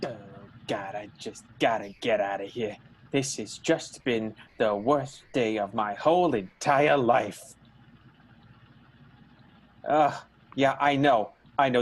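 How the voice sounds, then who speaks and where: A man acting a harried, self-pitying vaudeville showman in an improvised radio soap, husky, weary and repetitive. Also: medium pitch.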